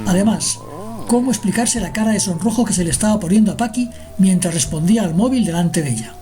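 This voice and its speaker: A woman's voice: mid-range voice, lively intonation, fast talker, a crowd in the background. A woman narrating a scripted radio drama as the storyteller. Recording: music behind the narration.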